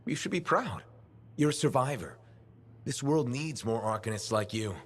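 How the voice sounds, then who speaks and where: An actor voicing a plain-spoken, curious freelancer hero with a dry, conversational manner in a video game. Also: male voice, mid-range voice, animated, moderate pace, slightly rough. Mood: curious, sympathetic. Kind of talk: video game dialogue acting.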